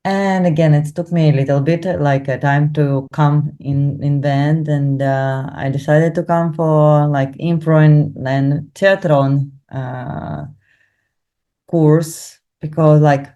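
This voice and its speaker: Young woman, english not her first language, low mellow voice, lots of um and like, stops to find words. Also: steady tone, slow pace, lo-fi sound. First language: not English, not Hebrew. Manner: hesitant, searching for words, many fillers. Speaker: Hebrew learner interviewed about her course. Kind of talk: casual testimonial interview.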